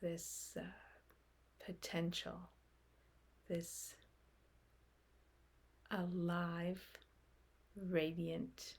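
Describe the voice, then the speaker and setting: A woman leading a guided meditation in a gentle, unhurried manner, speaking in short phrases with long pauses. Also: lowish voice, flat delivery, husky.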